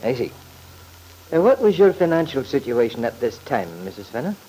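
An actor in a classic radio soap opera playing a formal, measured lawyer questioning a witness, on a noisy old recording. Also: male voice, medium pitch, very animated, dark tone, natural voice. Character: measured, probing male courtroom lawyer.

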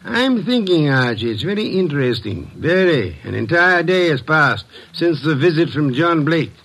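Pompous, high-pitched master detective. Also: male voice, sing-song, normal speed, a bit bright, exaggerated voice. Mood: shrewd, deliberate. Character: shrewd, commanding, curt master detective, older male boss. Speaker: private detective. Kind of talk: old-time radio drama acting.

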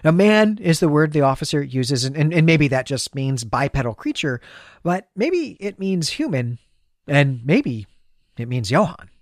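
A man's voice: on the high side, big pitch swings, a little slow, no room sound, clean studio sound. A man co-hosting a literary recap podcast, articulate and enthusiastic as he talks through a story. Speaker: podcast co-host. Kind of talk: literary recap discussion, semi-prepared.

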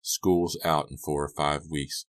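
A man voicing a calm, supportive teenage boy in an audiobook, with a reassuring manner. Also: extremely low pitch, takes it slowly. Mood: practical.

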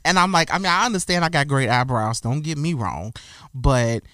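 A man's voice: fairly high pitch, expressive, moderate pace, pushed and bright, dry recording, studio quality. A man speaking as a guest in a casual podcast discussion, talking frankly and emphatically and often restarting his sentences.

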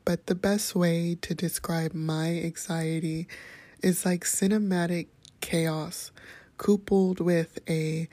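A calm, soft-spoken woman hosting a podcast, sharing a reflective personal monologue in a hushed, whispery way. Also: lowish voice, no room sound. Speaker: podcast host.

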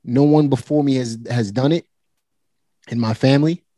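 A man's voice: mid-range voice, moderate pace, dark tone, dry recording. A man in his forties talking casually and directly to listeners in a motivational way. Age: 40s. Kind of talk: casual conversation.

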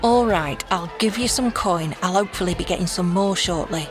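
A woman's voice: on the low side, brisk, music in the background, natural voice. A woman playing a cocky, boastful elf adventurer in a fantasy audio drama, with a brash, showy manner. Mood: wry, bossy.

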